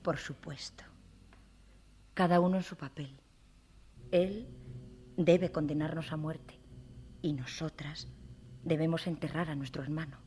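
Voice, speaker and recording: A woman's voice: deep voice, steady tone, a little slow, long pauses, mellow, slight background noise. An actress playing a defiant young woman in a 1960s radio drama, speaking in a grave, intimate, resolute way. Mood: grave resignation.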